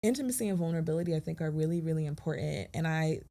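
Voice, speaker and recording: Woman therapist, low voice, measured and affirming, podcast guest. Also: animated, creaky, warm tone. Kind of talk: reflective podcast conversation.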